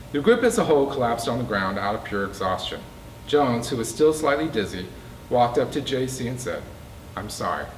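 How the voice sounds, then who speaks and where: Man reading fiction aloud, distant and roomy. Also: medium pitch. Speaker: author reading from own book. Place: bookstore reading event, indoors. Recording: roomy, distant mic, faint background noise.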